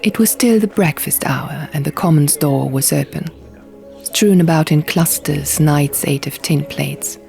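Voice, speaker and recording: A woman's voice: low-pitched, a little slow, vocal fry, a little mellow. A woman reading literary fiction aloud as an audiobook narrator, telling the story in the first person, over music. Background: music and sound effects.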